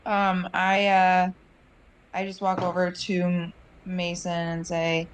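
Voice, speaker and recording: A woman playing a tabletop game, halting and unhurried. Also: lowish voice, completely flat delivery, slight background noise, poor audio. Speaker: player. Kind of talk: casual tabletop play.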